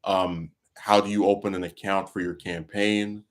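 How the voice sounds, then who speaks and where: A man's voice: deep voice, level tone, moderate pace. Man venting in rambling political talk. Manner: rambling, with many restarts and fillers; frustrated, venting. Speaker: livestream host. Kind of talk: casual political commentary.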